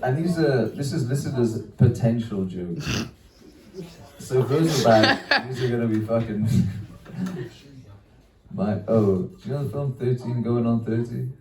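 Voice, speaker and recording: A man's voice: medium pitch, measured pace, a little mellow, far from the mic. A young man doing a rambling, self-deprecating stand-up set, swearing and trailing off into laughs. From Wales. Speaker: stand-up comedian. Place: small comedy venue. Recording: echoey room, mic picked up from afar.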